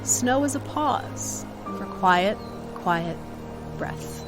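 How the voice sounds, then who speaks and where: A woman's voice: mid-range voice, expressive, extremely slow. A woman reading a poem aloud as its author. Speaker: poet reading own work. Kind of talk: poetry reading. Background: music.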